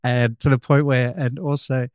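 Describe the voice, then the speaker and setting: A man's voice: medium pitch, normal speed, no room sound, clean studio sound. A man from Australia, a photographer, chatting casually as an interview guest, rambling and restarting his sentences. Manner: relaxed, rambling with frequent restarts.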